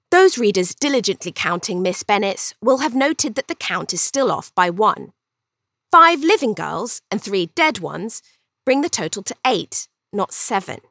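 A woman's voice: fairly high pitch, a bit bright, no echo, clean studio sound, heavily acted. A woman voicing a cheeky, vain young Regency Englishwoman telling her own story in an arch, chatty, theatrical way for an audiobook. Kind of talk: first-person audiobook storytelling.

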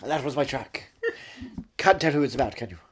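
A Welsh man trading absurd jokes and deadpan boasts in comic banter. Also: medium pitch, brisk. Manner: playful, deadpan boasting, silly wordplay.

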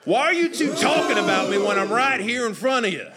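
A man acts out a tired fairy-tale father at the end of his patience. He scolds loudly in a Southern US drawl, pitched up in comic exasperation. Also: expressive, brisk, heavy background noise. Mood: angry. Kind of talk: improvised comedy storytelling acting.

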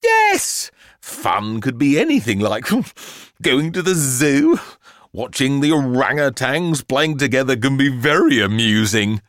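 A man's voice: mid-range voice, sing-song, slow, a bit bright, dry recording, clean studio sound, voice pushed up. A man voicing a jolly but long-suffering mother in a storybook, coaxing and effusive.

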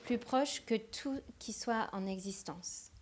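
Slow woman interpreting a sermon. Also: on the high side. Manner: translating phrase by phrase with hesitations.

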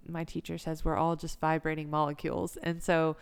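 A woman's voice: deep voice, little pitch movement, brisk, gravelly, clear, resonant tone. An American woman of about thirty talking casually and reflectively as an interview guest, in an informal way. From United States. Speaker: yoga instructor, interview guest.

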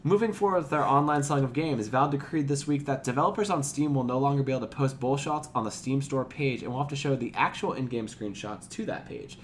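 A man's voice: mid-range voice, fast talker, hardly any pauses, a little hiss or hum. A man co-hosting a gaming podcast in an informal way, moving between casual chat and reading news. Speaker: podcast co-host.

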